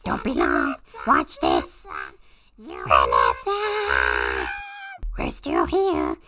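A woman putting on a raspy, strained, squawky cartoon creature voice to read out a script, playing every part. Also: extremely low pitch, huge pitch swings, drawn-out words, crowd noise. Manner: exaggerated, cartoonish. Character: Toad, small squawky excitable cartoon mushroom creature. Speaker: performer reading a film script aloud. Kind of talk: character reading in a put-on voice, playing every part.

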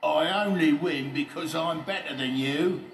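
Bragging villain lorry, loud sneer, drawn-out gloating, animated, storybook bully. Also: male voice, mid-range voice, roomy sound, distant mic, slight background noise, voice pushed up, poor audio. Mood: boastful. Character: boastful, sneering bully of a male racing lorry.

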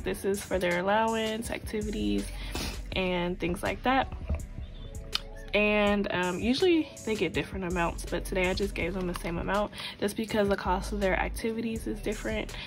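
A woman with a sore throat, casually chatting as she counts cash aloud for her budgeting videos at home. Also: mid-range voice. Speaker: budgeting channel host. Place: tabletop at home. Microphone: overhead camera, hands only.

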